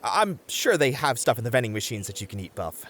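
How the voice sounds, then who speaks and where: A man's voice: medium pitch, sing-song, quick pace, fairly bright tone. A man playing an anxious, sensible young camper in a comedy audio roleplay, wry and hesitant, often stammering as the voice of reason. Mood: exasperated.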